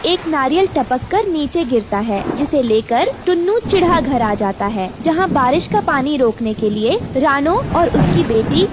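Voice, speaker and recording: A woman's voice: high voice, lively intonation, measured pace, few pauses, noisy background. A woman narrator reading a scripted children's story.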